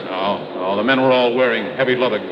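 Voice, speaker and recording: An actor playing a matter-of-fact mine manager in an old radio play. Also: male voice, mid-range voice, quick pace, lo-fi sound, close to an everyday voice. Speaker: mine official. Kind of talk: 1950s radio drama acting. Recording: old radio broadcast, roomy with hiss.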